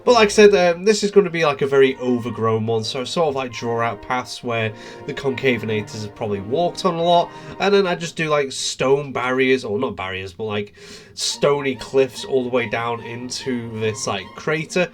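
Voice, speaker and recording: A man's voice: medium pitch, lively intonation, moderate pace, soft background music. A man with a cold, sounding bunged up as he gives a chatty, easygoing voiceover for a park-building game video. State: congested from a cold. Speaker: gaming video creator.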